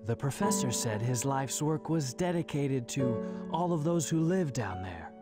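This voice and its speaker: A man's voice: medium pitch, lively intonation, a little slow, close to an everyday voice. A man voicing a brooding, sorrowful hedgehog antihero in a video game cutscene, melancholy and reflective. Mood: melancholy, searching. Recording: game audio under loud music. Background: loud game music.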